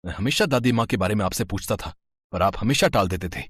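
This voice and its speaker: Guy doing an upset young grandson in a kids story, earnest n reproachful, lowish voice, dry sound. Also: expressive, fast talker, husky, no echo. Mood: angry, hurt. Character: indignant twenty-year-old grandson, loyal and upset.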